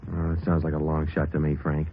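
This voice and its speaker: A deep-voiced American police sergeant talks fast in clipped, matter-of-fact phrases. His delivery is deadpan, in old radio procedural style. The sound is tinny and narrow. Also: a little hiss or hum. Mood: low-key. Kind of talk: 1950s radio police procedural narration and dialogue.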